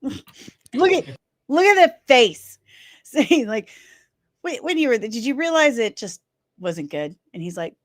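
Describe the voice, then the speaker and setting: A woman with a St. Louis Midwestern accent hosts a chatty pop-culture show, sarcastic and quick to laugh. Her voice sits a little high, and she talks slowly. The sound is close and dry. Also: very animated, no room sound. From St. Louis, Missouri. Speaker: host of a daily pop-culture news show. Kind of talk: casual commentary over played clips.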